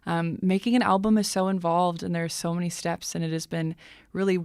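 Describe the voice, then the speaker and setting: A woman's voice: lowish voice, steady tone, fairly quick, a little mellow, smooth, no room sound, studio quality. A woman musician chatting casually as a radio interview guest, playful and wry. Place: radio studio.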